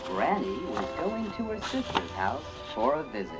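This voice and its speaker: A man narrating a children's read-along record slowly and clearly, with funky 1980s synth music behind. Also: mid-range voice, huge pitch swings. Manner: slow and clear for young children. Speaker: storybook narrator.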